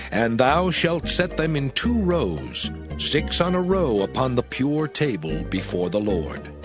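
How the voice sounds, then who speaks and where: A man playing a solemn lawgiver, pronouncing divine statutes in a measured, declamatory dramatized scripture reading. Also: mid-range voice. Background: music.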